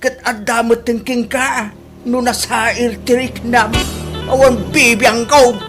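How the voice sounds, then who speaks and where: A woman's voice: on the high side, steady tone, slow pace. A woman acting in an Ilocano radio comedy drama as a brash, gloating, theatrical woman with an Ilocano accent. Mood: defiant. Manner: loud, taunting, theatrical. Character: brash gloating woman mocking losers on social media. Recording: music behind the voice.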